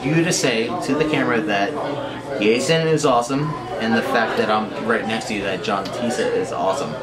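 A man's voice: lowish voice, brisk. A young man joking and swearing playfully during casual party banter. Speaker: party guest. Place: crowded apartment party, indoors. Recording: roomy, loud party crowd.

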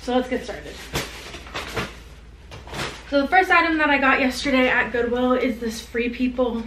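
A woman's voice: high voice, a bit bright. A woman in her twenties chatting casually to the camera on her desk as she shows off a clothing haul. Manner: chatty, upbeat, showing items to camera. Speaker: reseller vlogger. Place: small home room with clothing rack. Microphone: camera on desk at close range. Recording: echoey room, faint background hiss.

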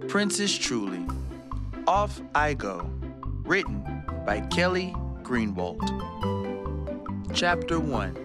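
A man reading out storybook titles in a measured announcing way, with music playing. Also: medium pitch, big pitch swings. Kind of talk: reading out book title and chapter. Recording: loud children's music over voice.

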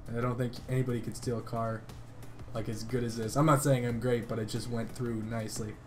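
A man talks casually in a mid-pitched voice, full of slang and swearing. He rambles through his sentences as if chatting on the phone, with music faintly behind him. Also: flat delivery, a little fast, a little mellow. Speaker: gaming video creator making a prank call.

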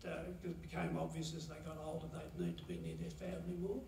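A man gives a halting, pause-filled eulogy for his mother at a chapel lectern. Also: a little high, little pitch movement, vocal fry, darkish tone, a little hiss or hum. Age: 70s. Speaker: son giving a eulogy. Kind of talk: memorial eulogy. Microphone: lectern mic, heard from a far camera. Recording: roomy chapel echo from far off.

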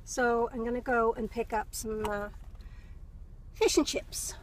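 Chatty higher-voiced woman rambling in a car. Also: fairly even intonation, unhurried. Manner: rambling, chatty, repeats words for emphasis. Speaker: vlogger. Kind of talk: casual chit-chat vlog. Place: inside a car. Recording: noisy car interior, road ambience. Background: car and road noise.

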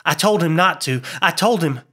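Horror story character, acted by a male reader: anguished man, raised pitch, choked, pleading delivery. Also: animated, normal speed, sharp tone, husky, full character voice. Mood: horrified, anguished. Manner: strained, suffocating, exclaiming.

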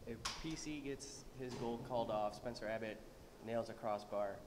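A man's voice: medium pitch, normal speed. A man working as a reporter, asking wordy questions at a press conference. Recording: loud room noise.

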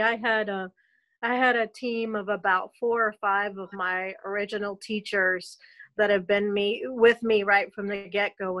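A woman's voice: medium pitch, fairly bright tone, low-quality recording. A woman who runs a music school, explaining her approach on a video call panel in an earnest, explanatory way with some restarts. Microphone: video call laptop mic.

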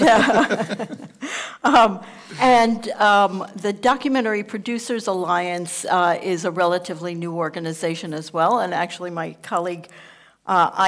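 A woman with a New York accent, introducing her organization to a conference audience in an upbeat, joking way. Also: on the low side. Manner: upbeat, joking, many fillers. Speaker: panelist.

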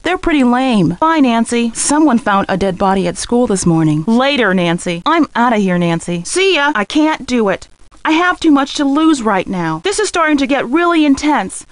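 High-voiced, guarded teenage girl. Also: sing-song, normal speed, no room sound. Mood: anxious. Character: driven, defensive teenage high school girl. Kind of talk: video game voice acting.

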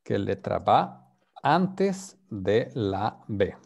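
A man's voice: on the low side, very animated, very slow, gravelly, a little mellow, lo-fi sound. Patient male teacher on a video call. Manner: patient, prompting students, mixing Spanish and English. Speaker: Spanish teacher. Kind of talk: online group language class.

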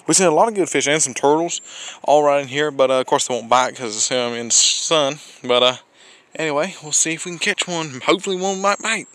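A man's voice: a little high, fairly quick, a bit bright, dry recording. A young man, narrating a casual walking tour outdoors, rambling and often correcting himself. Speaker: vlogger. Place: outdoors at a lake park boardwalk.

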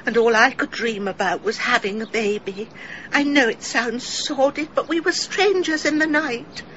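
Radio play: actress as middle-aged English villager, genteel, melodramatically confessional, high-voiced, piercingly bright. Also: female voice, moderate pace, dull audio, a little hiss or hum, lightly acted. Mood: anguished, yearning. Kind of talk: British radio drama acting.

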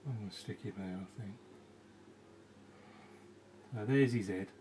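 A man in his thirties giving a casual watercolour painting lesson, thinking aloud as he works and often trailing off into quiet asides, recorded in a small room by a camera beside the easel. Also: on the low side, measured pace, background noise. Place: small indoor room with bookshelves.

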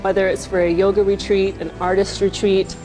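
Mid-pitched woman's testimonial over music. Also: little pitch movement, a little fast, low-quality recording. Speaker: retreat guest giving a testimonial. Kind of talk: promotional video testimonial. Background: prominent music.

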